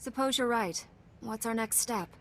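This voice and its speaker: A woman's voice: on the high side, normal speed, slight background noise, natural voice. A woman playing a principled, compassionate young warrior heroine in a video game, composed and earnest. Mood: firm. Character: principled, compassionate young female Jedi warrior, calm and resolute. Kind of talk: video game character acting.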